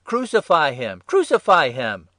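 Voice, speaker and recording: A man voicing an angry mob of priests demanding an execution, shouting insistently in a dramatised scripture reading. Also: on the high side, huge pitch swings, a little slow, dry recording, studio quality, pitched above the performer's own voice, exaggerated voice. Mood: furious shouting.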